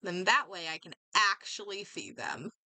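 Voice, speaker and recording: A woman's voice: mid-range voice, moderate pace, sharp tone, no echo, low-quality recording. A woman livestreamer chatting casually as she games, reacting and thinking aloud, often doubling back on her words. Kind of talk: casual chat while gaming, reacting aloud.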